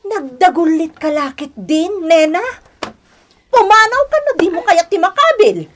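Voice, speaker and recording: A woman acting a lazy, scolding young wife and harsh aunt in a radio drama, sharp and complaining. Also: very high-pitched, very animated, measured pace, noisy background, exaggerated voice.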